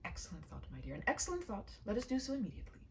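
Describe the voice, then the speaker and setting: A woman's voice: a little high, wildly swooping pitch, racing, gravelly, a little mellow, distant mic, slight background noise, exaggerated voice. A woman voicing an earnest, courteous shopkeeper husband who talks in a stiffly formal, worried way for a storybook. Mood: resolute.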